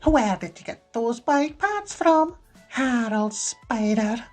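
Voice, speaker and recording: Guy doing a scolding glaswegian mum, really high pitched, swoopy and scornful, storybook style. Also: sing-song, slow pace, soft background music, heavily acted. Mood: accusing, snapping. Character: stern Glaswegian mother, silver-haired, interrogating and scornful.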